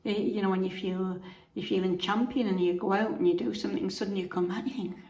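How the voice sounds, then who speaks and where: Chatty woman with a croaky voice, echoey room. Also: mid-range voice, steady tone, quick pace, mellow. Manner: chatty, homely, rambling with restarts. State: croaky from being unwell. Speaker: vlogger. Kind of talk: casual chat to camera.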